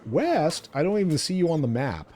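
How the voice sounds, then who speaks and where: A man's voice: fairly high pitch, very animated, moderate pace, mellow, a little hiss or hum. A man co-hosting a gaming show, chatting casually and thinking aloud as he plays. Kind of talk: casual gameplay chat.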